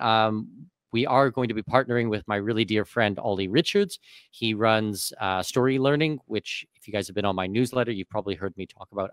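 A man in his thirties leads a live online question session, speaking into a boom-mounted studio mic. His voice sits a little low, and the sound is close and dry. He talks in long, running answers. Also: dry recording. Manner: reads out viewer questions, answers at length. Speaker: host. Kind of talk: live webinar Q&A. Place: dim home studio. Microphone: studio mic on boom arm.

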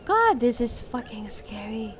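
A woman's voice: fairly high pitch, very animated, unhurried, slight background noise. A woman doing casual let's-play commentary, sweary and exclamatory, talking half to herself as she plays. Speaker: gaming video creator. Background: video game ambience sounds.

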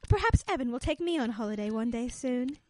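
A woman's voice: on the high side, lively intonation, a little fast, a little mellow, close to an everyday voice. A woman acting a chatty, agreeable society guest in a radio play. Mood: sociable.

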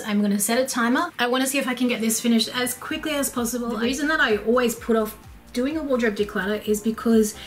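An Australian woman in her thirties talking casually and practically as a home lifestyle vlogger in a small bedroom, recorded on a nearby camera. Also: fairly high pitch. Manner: upbeat, chatty, practical. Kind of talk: casual chatty vlog talk. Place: small bedroom by a wardrobe, indoors.

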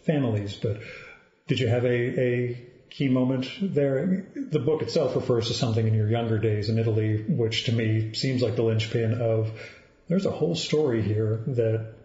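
A male podcast host asks long, winding questions, circling back and rephrasing before he gets to the point. His voice is mellow and mid-pitched. The sound is roomy and somewhat muffled. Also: normal speed. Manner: probing, meandering questions. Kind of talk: interview questions. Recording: roomy, slightly dull lo-fi sound.

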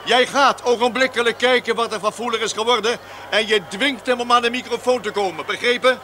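An actor in a radio drama playing an impatient, domineering radio director who gives brusque orders. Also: male voice, very high-pitched, fairly quick, sharp tone. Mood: commanding. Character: brusque, commanding male radio director barking at staff. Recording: noisy old radio drama recording.

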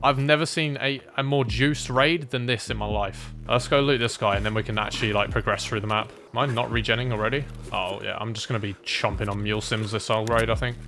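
A man streaming a game, chatting casually with a teammate in an excitable, sweary, exclaiming way. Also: mid-range voice, fast talker, few pauses, a little hiss or hum. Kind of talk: casual gaming commentary with a teammate.

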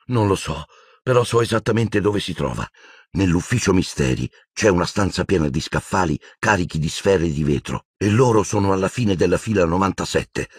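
Audiobook role: intense teenage boy played by a man, insistent and argumentative, husky tone, lively swings in pitch. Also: no echo, clean studio sound. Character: intense, hot-headed teenage boy, urgent and defiant.

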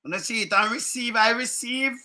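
Jamaican guy ranting into his webcam at home, high voice, real bright and sharp, keeps repeating himself. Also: fairly quick. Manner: animated, chuckling, repeats phrases, gestures a lot. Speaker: online commentary host. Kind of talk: casual political commentary in patois. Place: home room, indoors. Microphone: webcam at desk.

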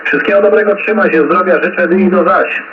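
Tinny CB radio, heavy static, man, chatty and courteous operator, slightly high voice. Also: a little fast. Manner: friendly, chatty, with polite well-wishing. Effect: CB radio transmission. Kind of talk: casual radio contact exchange. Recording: narrow, very noisy shortwave radio reception. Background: loud radio static.

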